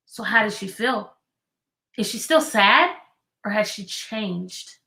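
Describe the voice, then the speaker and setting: A woman teacher reading a children's book aloud, expressive and deliberate, prompting young listeners with questions. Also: mid-range voice, gravelly.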